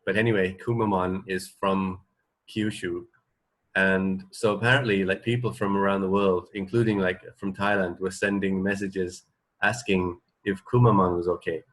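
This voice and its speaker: A man, middle-aged, co-hosting a radio show in casual chat, halting and tentative as he thinks aloud. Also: sits low, flat delivery, fairly bright tone.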